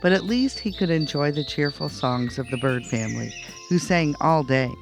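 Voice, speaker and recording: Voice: medium pitch, lively intonation, moderate pace, music in the background. A storyteller reading a children's bedtime story aloud as narrator.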